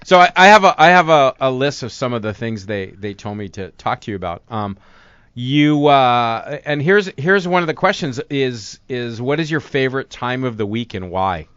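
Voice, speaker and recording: A man's voice: medium pitch, animated, moderate pace, a bit bright, clean tone, dry recording, muffled recording. A man co-hosting a radio show, chatting casually and telling rambling anecdotes. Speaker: radio co-host.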